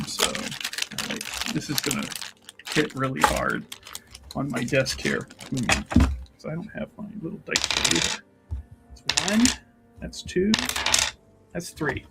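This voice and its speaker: Slow, higher-voiced man narrating a roleplaying game. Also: sing-song, gravelly, very noisy. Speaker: tabletop roleplaying player. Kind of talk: narrating own character in a tabletop game.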